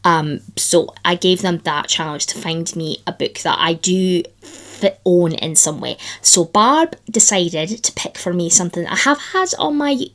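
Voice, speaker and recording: A woman vlogging about books in a chatty, enthusiastic way, her voice still slightly croaky after the flu. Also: lowish voice, expressive, a little slow. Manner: chatty, enthusiastic, lots of fillers. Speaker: book vlogger. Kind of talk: casual chat to camera.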